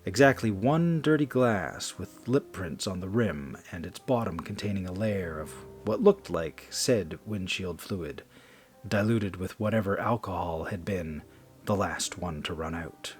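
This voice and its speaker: Deep-voiced man narrating horror, dramatic. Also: lively intonation, a little slow. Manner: theatrical, suspenseful delivery.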